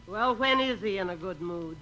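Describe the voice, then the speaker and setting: Woman acting a domineering mother, indignant, tart, animated pitch swings, heavy broadcast noise, vintage radio comedy. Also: normal speed, natural voice. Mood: tart, scornful. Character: Dennis's scornful, indignant, domineering middle-aged mother. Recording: noisy old radio broadcast recording.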